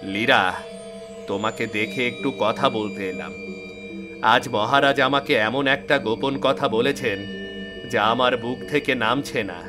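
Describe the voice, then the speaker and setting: পুরুষ কণ্ঠে রূপকথার রাজ্যের অনুগত, গম্ভীর রক্ষক. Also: male voice, medium pitch, unhurried, over music, lightly acted. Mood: troubled, confiding. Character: devoted, burdened young fairy-kingdom guard, loyal and earnest. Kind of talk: dramatic fairy-tale cartoon dialogue.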